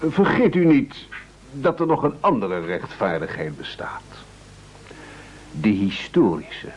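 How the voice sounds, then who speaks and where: A man's voice: fairly low pitch, big pitch swings, takes it slowly, a little hiss or hum. An actor in a radio play playing a pompous, scheming male leader of hungry castaways, speaking in formal, rhetorical sentences. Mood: pompous, accusing.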